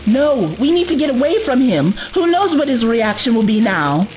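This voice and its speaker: A woman's voice: on the high side, sing-song, moderate pace, loud music behind the voice. A woman acting in an audio drama as a resolute, reassuring young adventurer, with urgency in her delivery. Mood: urgent, reassuring.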